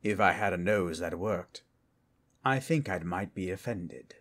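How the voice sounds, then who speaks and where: A man playing an ancient, calm and menacing undead collector with a formal, deliberate delivery in an audio roleplay. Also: deep voice. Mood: measured, imperious.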